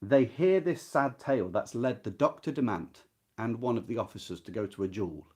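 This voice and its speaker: A man's voice: mid-range voice, lively intonation, normal speed, darkish tone, slightly rough. A man hosting an informal literary discussion, explaining conversationally and often turning to the listener with questions. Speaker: host of a book readalong. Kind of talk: informal literary discussion with short read-out passages.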